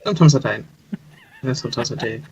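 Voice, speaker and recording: A man's voice: mid-range voice, quick pace, darkish tone, slightly rough. A man chatting casually in a blunt, rambling way over dull online call audio. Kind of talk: casual chat.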